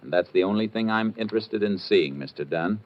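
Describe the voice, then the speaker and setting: An actor playing a steady, matter-of-fact Texas Ranger investigator who also narrates in a 1950s radio drama. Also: male voice, lowish voice, brisk, natural voice. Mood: firm. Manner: terse, matter-of-fact, firm. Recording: muffled, noisy 1950 radio recording.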